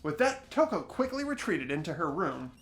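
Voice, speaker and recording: A young man hosting a game playthrough, switching between expressive scripted narration and casual commentary. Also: on the high side, normal speed. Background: soft game music.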